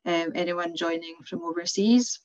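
A woman's voice: lowish voice, moderate pace, darkish tone, no room sound. A woman in her thirties with a Glasgow Scottish accent, presenting a clinical webinar on a video call in a chatty, informal way with many ums and restarts. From Scotland. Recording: dry, slightly dull webinar audio.

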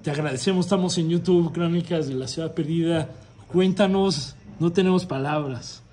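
A man's voice: fairly high pitch, moderate pace, darkish tone. A man with a Mexican accent hosting an informal interview in an effusive, rambling way. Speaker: host interviewer of a city history channel. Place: restaurant dining room, indoors. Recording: background noise, restaurant room ambience.

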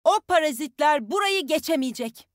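High-voiced, brisk, tough game heroine. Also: female voice, animated, no room sound, studio quality. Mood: firm, confident. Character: tough, bossy young woman soldier who commands animals. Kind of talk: video game character voice lines.

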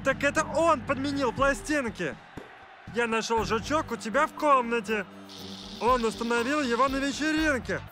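A man's voice: extremely high pitch, moderate pace, music in the background. An actor playing an eager, flustered male football fan in a cartoon, with a pleading, hesitant way of talking. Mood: flustered, earnest. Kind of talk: animated cartoon dubbing.

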